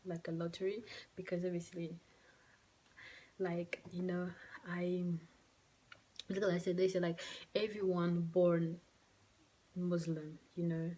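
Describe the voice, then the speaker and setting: A young woman who speaks English as a second language, telling a personal story to camera in a halting, soft, emotional way with many fillers. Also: lowish voice, flat delivery. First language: not English. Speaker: vlogger. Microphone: phone or camera mic.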